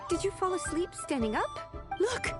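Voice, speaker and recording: Brief: an overworked game clerk, high and lively, slow, pleading. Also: female voice. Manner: weary and pleading. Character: tired, overworked young female clerk. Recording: game audio with loud music.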